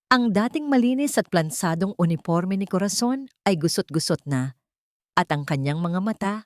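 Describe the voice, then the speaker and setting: A woman narrating an audio drama in a measured, dramatic storytelling manner. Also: lowish voice, a little mellow, no room sound, studio quality.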